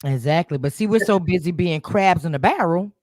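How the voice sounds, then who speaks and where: A woman's voice: on the low side, brisk, a little mellow, no echo, studio quality. A woman hosting a podcast in casual conversation, speaking emphatically with short affirming interjections. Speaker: podcast host.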